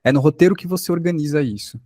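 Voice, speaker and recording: A man's voice: a little high, fast talker, a little mellow, no room sound, clean studio sound. A Brazilian man giving an informal lesson as a workshop instructor, explaining by example with rhetorical questions and check-ins.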